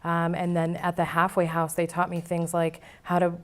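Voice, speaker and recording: A woman gives candid testimony as an interview guest. Her voice is smooth and a little low, and it stays very level as she talks. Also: completely flat delivery, moderate pace. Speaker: interview guest, former client now board member. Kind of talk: candid personal testimony in conversation.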